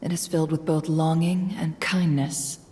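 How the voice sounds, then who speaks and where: An actress playing a composed, inquisitive woman in a video game, speaking in a measured, cool way. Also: low-pitched, little pitch movement, warm tone, a little hiss or hum, close to an everyday voice. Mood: pensive. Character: composed, inquisitive woman infiltrating another person's mind. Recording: long hall-like reverb, music underneath.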